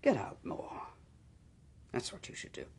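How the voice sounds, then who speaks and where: An actor playing an impatient, dismissive British husband in a radio drama, brisk and patronising. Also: male voice, a little mellow, natural voice. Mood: defensive. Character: impatient, dismissive career-minded husband and father.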